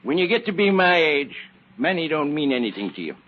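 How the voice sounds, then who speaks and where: An actor playing a feeble, folksy old counterfeiter with a cackling, raspy voice in an old-time radio drama. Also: male voice, a little high, very animated, fairly quick, a little hiss or hum. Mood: confiding. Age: older. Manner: folksy, rambling, chuckling to himself. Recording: muffled old radio recording.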